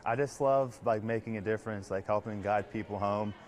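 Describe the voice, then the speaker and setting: A man's voice: fairly low pitch, a little fast. A man giving a casual interview about his work, speaking informally with frequent filler words. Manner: informal, peppered with "like". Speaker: air traffic controller interviewee.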